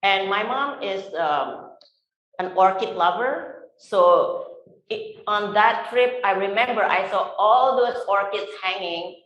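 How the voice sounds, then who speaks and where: A woman from Indonesia with an Indonesian accent, telling her life story as a panelist in an unhurried way with many fillers. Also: medium pitch, lo-fi sound. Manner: unhurried, frequent fillers, warm laughs. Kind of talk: personal storytelling at a symposium. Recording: echoey lecture hall.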